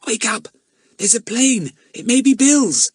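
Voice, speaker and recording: An eager young boy from a storybook adventure, acted out by a man. The voice is lifted high and emphatic, confident yet well-mannered, with a dry close sound. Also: lively intonation, a little slow, slightly rough, dry recording, heavily acted. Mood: urgent. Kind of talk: audiobook character voice.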